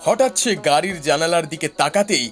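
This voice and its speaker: A man with a fairly high voice narrates a scripted story. Music plays clearly behind him as he tells it. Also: expressive, brisk.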